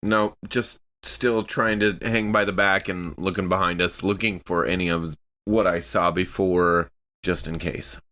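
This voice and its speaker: A man's voice: sits low, fairly even intonation, unhurried, sharp tone. A man playing a tabletop role-playing game, chatting casually as he describes his moves and reads out rolls.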